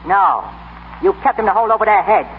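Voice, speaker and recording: Bossy streetwise new fight manager, talks quick, tinny scratchy 30s radio sound. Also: male voice, high-pitched, big pitch swings. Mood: tough, accusing. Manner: slangy, streetwise, bossy, ungrammatical. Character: cocky, tough-talking fight manager in love. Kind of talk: 1930s radio acting.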